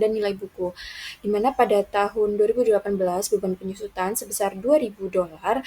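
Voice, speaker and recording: A woman's voice: medium pitch, dark tone, husky. A young woman, reading out a student presentation in a formal, methodical way. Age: young adult. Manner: formal, methodical read-out delivery. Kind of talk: reading out a worked accounting example.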